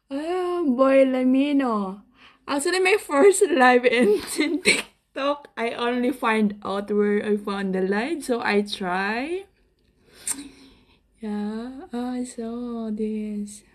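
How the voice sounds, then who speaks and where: A young woman from the Philippines with a Filipino accent, chatting casually and shyly in halting English on a phone livestream at home. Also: a little high. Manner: shy, hesitant, giggly, halting non-native English. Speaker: livestream host. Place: small room at home. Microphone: phone at arm's length.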